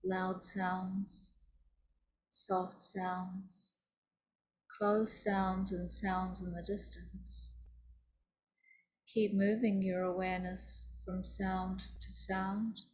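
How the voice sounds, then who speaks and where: A woman teaching yoga nidra, leading a guided meditation in a soothing, deliberate, instructive manner. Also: mid-range voice, little pitch movement, darkish tone, a little hiss or hum, low-quality recording.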